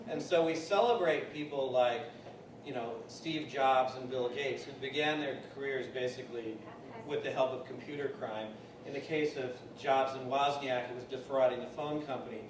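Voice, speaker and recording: A man lecturing at a university, fielding audience questions in a loose, conversational way. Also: medium pitch. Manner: thinking aloud, loose and conversational. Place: lecture hall. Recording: echoey lecture hall from far off, noisy.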